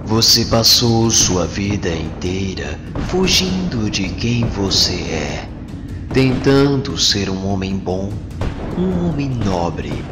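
Man voicing a slow, sinister alien schemer. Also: on the low side, sing-song. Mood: sly, solemn. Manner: theatrical, deliberate, sermonizing. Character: sinister philosophical alien schemer, calm, manipulative male. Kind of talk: dramatic motion comic dubbing. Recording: long hall reverb, loud music underneath.

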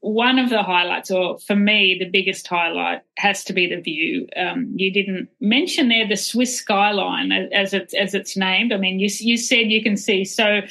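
A woman's voice: medium pitch, a little slow, creaky, few pauses, bright, forceful tone, rough recording. A woman hosting a travel podcast, asking her guest questions with enthusiasm and small restarts. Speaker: podcast host. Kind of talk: podcast interview.

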